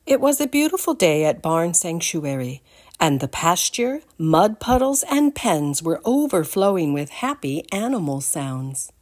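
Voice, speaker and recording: An older woman giving an expressive read-aloud storytime for young children, in a deliberate, animated manner. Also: on the low side. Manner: deliberate, animated, addresses the listeners with questions.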